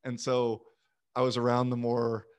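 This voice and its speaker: A man's voice: mid-range voice, monotone, a little slow, a little mellow, smooth. A man in casual conversation, chatty and informal, peppering his talk with filler words like "like" and "you know". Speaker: youth sports coach and language learner.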